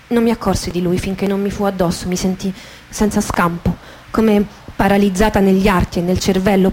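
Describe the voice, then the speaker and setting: Measured woman reading dramatically over music. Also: medium pitch, warm tone, slight background noise. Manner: measured, short phrases with deliberate pauses. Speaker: actress reading a dramatised memoir. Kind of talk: dramatic literary narration, radio theatre. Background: guitar music behind the voice.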